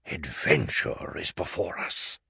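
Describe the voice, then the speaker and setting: A man's voice: a bass voice, slow pace, vocal fry, bright, forceful tone, husky, dry recording, pitched below the performer's own voice, full character voice. A man reading a storybook, voicing a grand, deluded old male knight with lofty, solemn speech.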